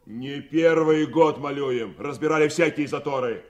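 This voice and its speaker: An actor playing a determined veteran timber rafter, blunt and folksy, in a Soviet radio drama. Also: male voice, fairly high pitch, unhurried, darkish tone, lightly acted. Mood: firm, practical.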